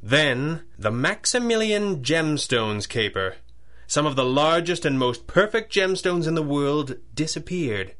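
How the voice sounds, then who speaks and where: Crisp, forceful masked crime-fighter. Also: male voice, on the high side, expressive, measured pace. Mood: grave, analytical. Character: brooding, wealthy masked crime-fighter, commanding boss. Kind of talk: 1930s radio adventure drama acting.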